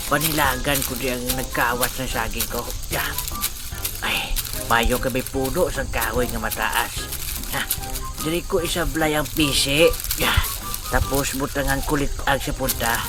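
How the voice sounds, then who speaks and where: A man's voice: mid-range voice, lightly acted, rough recording. A man playing a vengeful farmer, muttering and scheming to himself in a comedic audio drama. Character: vengeful male banana farmer setting a snare trap.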